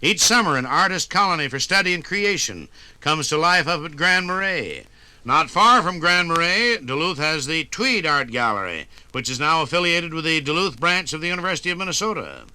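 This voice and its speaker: A man narrating a scripted 1950s radio documentary in a measured, formal announcer style. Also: on the high side, lively intonation, bright, forceful tone, no echo. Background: music.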